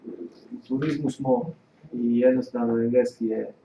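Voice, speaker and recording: Male course organizer, monotone, filler-heavy; distant mic, reverberant noisy room. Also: medium pitch, normal speed, lo-fi sound. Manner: hesitant, many fillers. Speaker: course organizer introducing the speaker.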